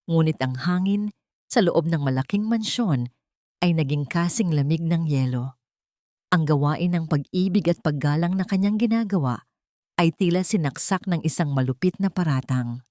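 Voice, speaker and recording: A female storyteller with a fairly low voice delivers dramatic scripted narration. The recording is dry and close, with very little room sound. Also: a little mellow, no echo.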